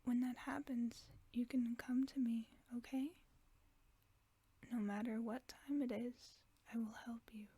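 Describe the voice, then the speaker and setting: A woman voicing a gentle, protective werewolf friend in a whispered sleep-aid audio roleplay, speaking in a soft, soothing whisper close to the microphone. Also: fairly high pitch, steady tone, measured pace, breathy edge, no echo.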